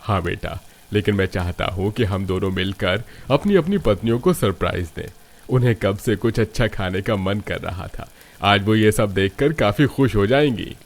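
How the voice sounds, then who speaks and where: A man's voice: on the low side, lively intonation, normal speed. A man voicing a kindly, humble village father in a cartoon story, earnest and conversational. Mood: gentle, earnest.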